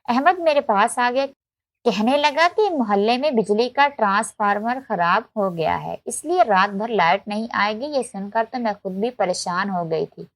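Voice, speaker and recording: A woman's voice: mid-range voice, expressive, moderate pace, dry recording. A woman narrating a scripted, emotional first-person story as a storyteller.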